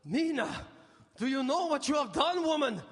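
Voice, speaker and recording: Frantic monster hunter, very high strained shouting, sharp and outraged, reverberant radio play stage. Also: male voice, expressive, moderate pace. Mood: horrified outrage. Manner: shouted, anguished, declamatory. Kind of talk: live radio drama acting. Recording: live hall with long reverb.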